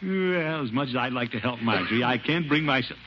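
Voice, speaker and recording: An actor playing a blustering, scheming but good-hearted middle-aged uncle in a theatrical 1950s radio comedy style. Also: male voice, mid-range voice, animated, brisk, natural voice. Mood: scheming, neighborly. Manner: blustery, theatrical, self-important. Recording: muffled, noisy vintage radio recording.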